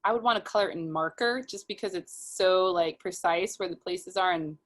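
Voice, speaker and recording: A woman with a slightly low-set voice talks casually as a guest illustrator. She explains how she works in informal, step-by-step fashion, as in a relaxed interview conversation. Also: a little fast.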